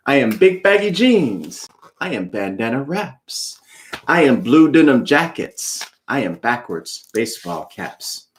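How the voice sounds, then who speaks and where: A man's voice: mid-range voice, a bit bright, slight background noise. A man, a children's author, speaking to an online audience in a live read-aloud in a friendly, direct way. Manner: friendly, chatty, addressing viewers directly.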